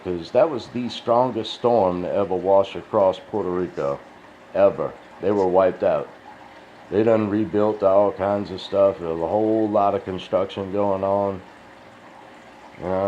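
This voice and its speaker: A man rambling in a casual, profane, folksy monologue, sounding tired and dry-mouthed. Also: fairly low pitch. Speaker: crypto video host. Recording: dull lo-fi sound with faint hiss.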